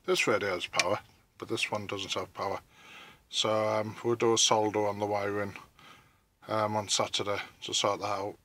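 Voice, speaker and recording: A man giving casual, halting hands-on commentary as he thinks aloud through a DIY job, recorded on a handheld phone. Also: fairly low pitch, level tone, sharp tone, dry recording. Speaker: vlogger narrating a DIY car radio install.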